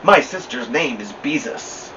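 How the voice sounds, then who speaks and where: A man's voice: medium pitch, animated, unhurried, pushed and bright, recorded from a distance, lo-fi sound, slight background noise. A man voicing a proud, attention-loving little preschool girl in a storybook reading. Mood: matter-of-fact.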